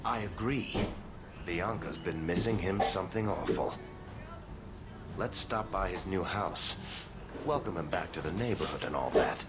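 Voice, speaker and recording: An actor playing a wry, cocky rogue with connections in a video game, sardonic and easygoing. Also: male voice, low-pitched, animated, a little slow, heavy background noise.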